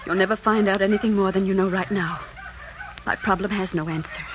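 A woman's voice: medium pitch, steady tone, brisk, natural voice. An actress playing an earnest, secretive young woman in love in a melodramatic 1940s radio drama. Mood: resigned. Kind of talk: 1940s romantic radio acting. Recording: muffled old radio recording, noisy.

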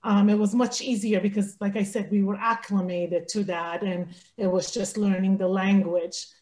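A woman who grew up in Iran, a guest author on an interview podcast, telling stories in an animated way with many "you know"s. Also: medium pitch, normal speed. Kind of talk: casual interview chat. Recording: echoey room.

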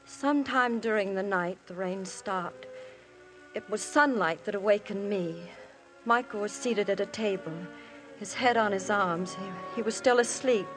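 An actress playing a young married woman who recounts her story to the police, earnest and quietly desperate, in 1950s radio drama style. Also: medium pitch, a little slow, soft background music, close to an everyday voice, rough recording. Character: married woman, young adult, anxious and confessing. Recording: old radio broadcast, faint hiss.

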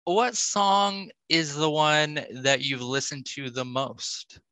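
Guy hosting a podcast, talks slow, bright clear-cut tone, really dry sound, casual chat. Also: a little high, fairly even intonation, no echo. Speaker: podcast host.

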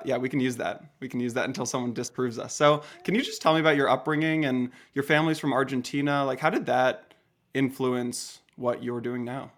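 A man's voice: mid-range voice, fairly bright tone. A man hosting an interview, asking questions in a casual, friendly way. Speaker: interview host. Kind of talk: conversational interview.

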